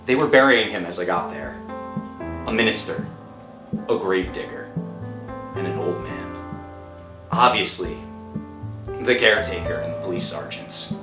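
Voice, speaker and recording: A man's voice: deep voice, moderate pace, long pauses, close to an everyday voice. An actor playing a desperate, guilt-ridden young man in a melodramatic 1960s radio thriller. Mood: bleak, haunted. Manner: melodramatic, anguished, swinging from hushed to shouting. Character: desperate, guilt-ridden poor young man, thief, narrating his ordeal. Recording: roomy old radio recording with music.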